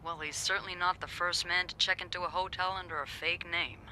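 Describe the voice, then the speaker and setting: A wry, teasing hotel receptionist. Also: female voice, fairly low pitch, steady tone, a little fast, pushed and bright, slight background noise. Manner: dry, teasing, knowing. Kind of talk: video game voice acting.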